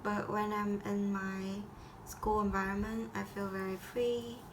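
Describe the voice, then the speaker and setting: A teenage girl from Vietnam with a Vietnamese accent, speaking English as a second language in a halting school assignment talk full of restarts. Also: medium pitch, level tone, noisy background. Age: teens. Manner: halting, with frequent restarts and grammar slips. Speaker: high school student.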